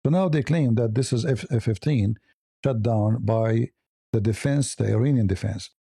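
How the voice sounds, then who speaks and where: Gravelly, blunt man. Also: medium pitch, moderate pace, mellow, dry recording, studio quality. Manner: blunt, mocking, rambling with many restarts. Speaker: commentator. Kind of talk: live political commentary over images.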